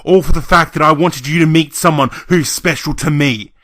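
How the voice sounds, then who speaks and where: Audio roleplay part: male actor as lovestruck young scarecrow, volatile and emotional, tense bright voice, dry close sound. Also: mid-range voice, measured pace, no room sound. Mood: furious. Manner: emotional, profane, stammering when cowed.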